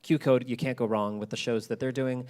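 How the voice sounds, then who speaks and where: A man's voice: mid-range voice, steady tone, a little fast, a little mellow. A man speaking enthusiastically and casually as a podcast creator at a public talk with audience questions. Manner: enthusiastic, effusive. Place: library event with audience.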